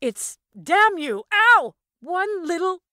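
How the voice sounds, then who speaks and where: A woman's voice: very high voice, very animated, takes it slowly, pushed and bright, no room sound, studio quality, pitched above the performer's own voice, heavily acted. A woman voicing a brash, irritable female shopper in an audio story, blunt, exclaiming and exasperated. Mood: furious, yelping.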